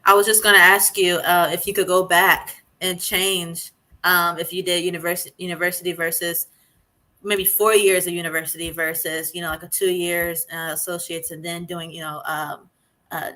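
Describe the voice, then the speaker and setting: Bright-voiced woman hosting casually. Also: fairly low pitch, level tone, a little fast. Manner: chatty, hesitant with many fillers. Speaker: host.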